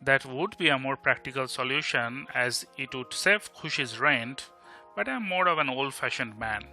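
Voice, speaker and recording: A man's voice: mid-range voice, expressive, normal speed, pushed and bright, faint music behind. A man with an Indian Bengali accent playing a blunt, sardonic, protective best friend in an audio drama. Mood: matter-of-fact.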